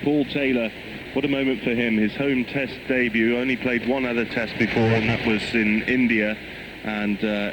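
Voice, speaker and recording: A man's voice: medium pitch, noisy background. A man giving live radio cricket commentary, heard over a shortwave broadcast. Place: cricket ground commentary position.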